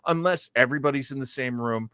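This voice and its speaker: A man's voice: mid-range voice, quick pace, a bit bright, clear, resonant tone, no echo, studio quality. A man hosting a casual chat show, talking in an effusive, appreciative manner. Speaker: show host.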